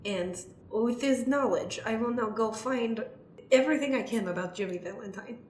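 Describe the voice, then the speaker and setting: A woman's voice: on the high side, moderate pace, darkish tone, slight background noise, lightly acted. Woman playing an earnest foreign lawyer, echoey room. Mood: earnest, businesslike. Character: earnest foreign lawyer, blunt and literal-minded. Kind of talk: improvised comedy radio play. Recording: roomy echo, faint music.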